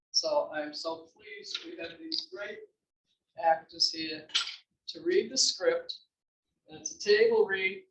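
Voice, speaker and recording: A woman's voice: lowish voice, slight background noise. A woman playwright giving an informal introductory speech about her work. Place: library room before an audience. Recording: echoey room, distant mic.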